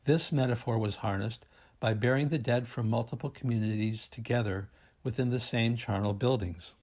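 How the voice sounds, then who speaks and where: Male researcher, mellow relaxed tone, formal scripted delivery, measured phrasing, mid-range pitch. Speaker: academic researcher presenting a paper. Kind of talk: scripted scholarly presentation, read aloud.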